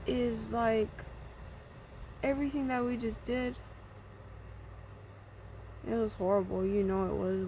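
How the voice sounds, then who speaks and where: A young woman speaks to her phone while close to tears, and her voice drops into a gravelly fry. She talks haltingly, repeats herself and sighs between thoughts. Constant vehicle noise rumbles behind her, as if she is recording inside a car. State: sad, near tears. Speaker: vlogger. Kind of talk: emotional personal video message.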